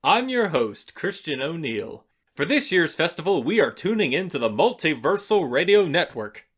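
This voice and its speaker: A male host introduces radio plays in a showy, scripted style. His voice is bright and somewhat high, with very lively pitch movement. The delivery is theatrical throughout. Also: normal speed, breathy edge. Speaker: radio play festival host.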